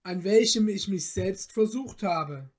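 A woman's voice: medium pitch, expressive, measured pace. A woman voicing an earnest, modest young craftsman from a storybook, with polite, formal, old-fashioned diction. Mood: courteous, firm.

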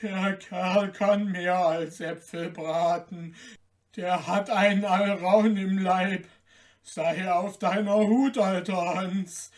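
A man voicing a huge, gruff, gullible old giant from a fairy tale, with a booming, grumbling, drawn-out delivery. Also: steady tone. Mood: grumbling, alarmed. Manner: slow, drawn-out, emphatic delivery.

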